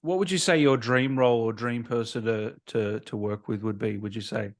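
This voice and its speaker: Man, medium pitch, interview host, informal, chummy, agreeable, conversational. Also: moderate pace. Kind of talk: casual interview chat.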